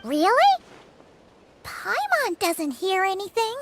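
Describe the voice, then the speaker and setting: A woman voicing a tiny, excitable fairy companion in a video game, squeaky and childlike. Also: slow, music in the background, exaggerated voice. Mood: puzzled. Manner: squeaky, childlike, refers to herself in third person.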